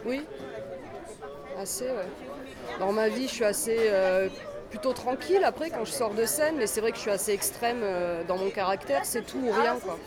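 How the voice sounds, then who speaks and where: A woman in her forties from southeastern France, talking casually about her dance career in an interview, with a relaxed, rambling delivery full of fillers and restarts. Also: a little high, moderate pace, a crowd in the background. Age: 40s. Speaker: dancer and choreographer, guest.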